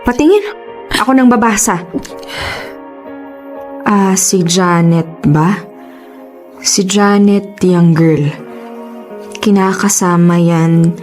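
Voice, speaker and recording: A woman with a Filipino accent playing a blunt, protective, exasperated best friend, emphatic and expressive, in a radio drama. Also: on the low side.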